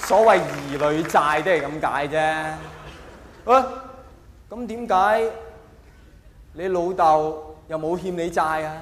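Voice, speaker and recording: A man's voice: on the high side, takes it slowly, pauses often, a bit bright, some chatter behind. A man with a Hong Kong Cantonese accent delivering a colloquial stand-up monologue, full of exaggeration and rhetorical questions. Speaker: stand-up comedian. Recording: echoey hall, faint background noise.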